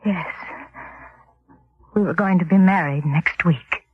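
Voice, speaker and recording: An actress playing a tense young medical intern, bitter and clipped, in an old radio drama. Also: female voice, lowish voice, takes it slowly, husky, dry recording, exaggerated voice. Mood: bitter grief. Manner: clipped, agitated, icily formal. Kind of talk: 1940s radio acting. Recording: dull, faintly noisy old radio recording.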